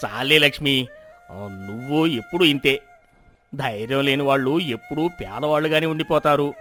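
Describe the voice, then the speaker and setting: An actor playing a greedy, stubborn village husband in a dramatized moral story, speaking in an emphatic, theatrical way. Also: male voice, mid-range voice, moderate pace, pushed and bright, close to an everyday voice. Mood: greedy, dismissive. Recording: music behind the voice.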